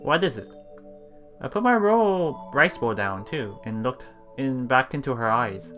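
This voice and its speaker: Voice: medium pitch, animated, measured pace, fairly bright tone. A let's play streamer reading a visual novel aloud, stumbling and repeating phrases, with murmured asides. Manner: rereads lines after stumbles, murmured reactions between read passages. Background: game music.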